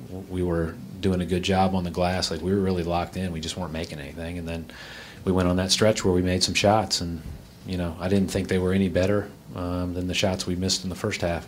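A man's voice: sits low, little pitch movement, fairly quick, a little hiss or hum. A man talking about a team's play in a casual post-game interview.

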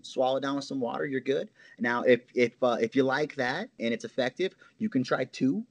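A man in his thirties, originally from Hawaii, talking casually as a podcast guest and explaining things in long, animated runs. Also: mid-range voice, fairly quick, no room sound. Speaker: podcast guest, cannabis grower. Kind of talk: casual interview chat.

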